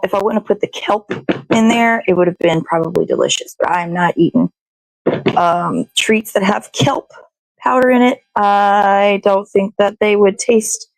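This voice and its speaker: A woman's voice: medium pitch, a little slow, dry recording, poor audio. An American woman hosting casually from her kitchen, chatting in an informal, rambling, sweary way as she cooks. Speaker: host of a morning show. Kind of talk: casual chatty narration while cooking. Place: indoors in a home kitchen.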